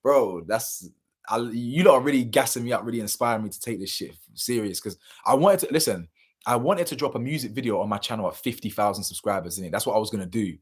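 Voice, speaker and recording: Young man, low-ish voice with some hoarseness; loose, slangy live-stream chatter with sudden loud bursts and trailing mumbles. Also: fast talker. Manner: slangy, animated, laughs often, drifts between loud bursts and mumbles. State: slightly hoarse. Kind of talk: casual live chat reading viewer comments.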